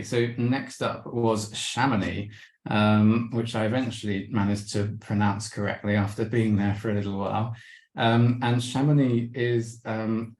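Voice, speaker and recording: A man presents a travel slideshow over a video call, hesitating often with ums and restarts. His voice sits low and stays fairly level, and it sounds worn, as if he is losing it. The room around him is noticeably echoey. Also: a little mellow. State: losing his voice, clearing throat. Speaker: webinar presenter.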